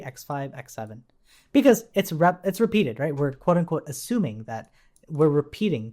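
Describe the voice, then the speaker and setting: A man instructing in an informal, think-aloud way. His pitch moves up and down a lot as he explains each step, and he often tags his statements with a checking question. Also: darkish tone.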